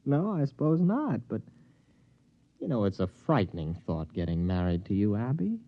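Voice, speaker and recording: A man's voice: lowish voice, very animated, moderate pace, highs cut off. Bemused man, thin old-radio sound. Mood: bemused, resigned. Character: bemused, hesitant man resigned to marrying. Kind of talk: 1950s radio drama acting. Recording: thin, band-limited vintage radio recording.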